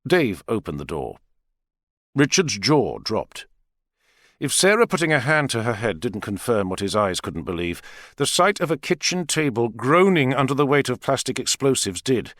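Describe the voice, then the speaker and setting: A man narrating a thriller novel as an expressive, theatrical audiobook reader. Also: mid-range voice, normal speed, dry recording, studio quality.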